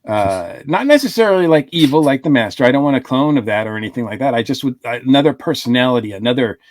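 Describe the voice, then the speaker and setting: A man's voice: medium pitch, expressive, fast, no echo, clean studio sound. A man co-hosting a podcast, talking casually and hesitantly with many restarts.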